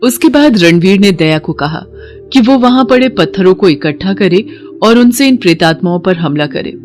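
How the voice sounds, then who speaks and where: A woman's voice: mid-range voice, lively intonation, normal speed, darkish tone, faint music behind. A woman narrator reading a scripted story in a dramatic storytelling style.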